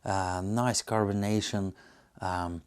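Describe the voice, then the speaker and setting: Male reviewer, Belgian accent, lowish pitch, informal and unscripted, hesitant and reflective delivery. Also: fairly even intonation, slow pace, clear, resonant tone. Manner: hesitant, musing, searching for words. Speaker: beer reviewer and host. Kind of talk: casual unscripted tasting commentary.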